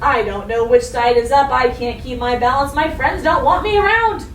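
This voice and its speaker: A woman's voice: sits high, normal speed, fairly bright tone. A woman voicing a restless little talking shape from a children's storybook, plaintive and earnest. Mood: weary, unhappy. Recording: roomy echo, distant mic, faint noise.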